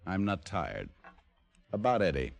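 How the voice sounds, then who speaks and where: A man's voice: low voice, very animated, unhurried, gravelly, heavy background noise, exaggerated voice. A man playing an unflappable, wisecracking private detective in an old radio drama, with a dry, flippant manner. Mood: dry, probing. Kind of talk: 1940s-50s radio detective acting with first-person narration.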